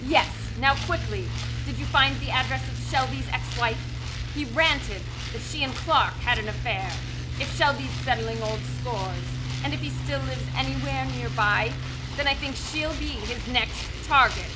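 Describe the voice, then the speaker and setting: A woman's voice: sits high, moderate pace, over music, lightly acted. A woman playing a confident, commanding masked socialite crime fighter in a live radio play. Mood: brisk, resolute. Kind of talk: live old-time radio play acting. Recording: clearly noisy live studio recording.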